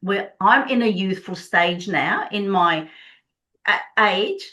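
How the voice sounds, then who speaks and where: A woman's voice: mid-range voice, animated, slow, bright, forceful tone. A middle-aged woman from Prague, a native Czech speaker, explaining their work earnestly and enthusiastically as an interview guest. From Prague, Czech Republic. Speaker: Body Code practitioner, interview guest.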